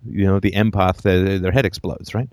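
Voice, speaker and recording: A man hosting a call-in show, talking in a lively, emphatic way and repeating phrases for effect. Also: low voice, moderate pace, no room sound, clean studio sound.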